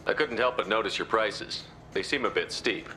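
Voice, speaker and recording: A man's voice: on the low side, moderate pace, bright, forceful tone, faint music behind. A man playing a confident, wry military commander in a video game, with a direct, casual and dry way of talking. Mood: confident, persuasive.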